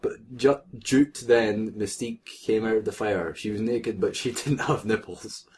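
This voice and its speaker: A man's voice: on the low side, unhurried, darkish tone. A man co-hosting a comic read-aloud of fan fiction, joking in asides as he reads.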